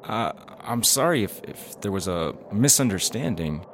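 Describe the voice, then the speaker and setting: A formal, hesitant radio producer in an audio drama. His voice is low and polite, and there is clear background noise behind it. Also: expressive, normal speed. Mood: apologetic, polite. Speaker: interviewer. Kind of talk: acted documentary interview.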